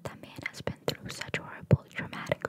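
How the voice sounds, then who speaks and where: A woman whispering ASMR-style as she reads out trivia and adds informal, musing asides. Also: fairly quick. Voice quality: soft, unvoiced whisper. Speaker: ASMR content creator. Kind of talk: whispered ASMR reading of trivia with casual commentary.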